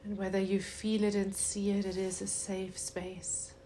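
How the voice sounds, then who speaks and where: Very slow, even-toned woman guiding meditation. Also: medium pitch, a little hiss or hum. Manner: soothing, unhurried, with long pauses. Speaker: meditation guide. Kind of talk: guided meditation.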